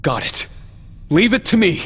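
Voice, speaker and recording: An actor playing an earnest, resolute young hero in a video game, serious and intense. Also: male voice, high-pitched, sing-song, measured pace, fairly bright tone, husky, faint music behind, heavily acted. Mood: determined. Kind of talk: English video game dub acting. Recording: echoey hall reverb.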